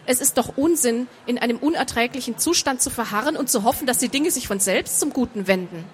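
A woman voicing a calm, caring man in a storybook, quietly reasoning and insistent. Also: a little high, fairly quick, slight background noise, natural voice.

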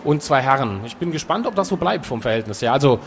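A man talking casually as a guest in an interview, relaxed and chatty, with frequent filler sounds. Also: medium pitch, quick pace, slight background noise. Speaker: author and guest.

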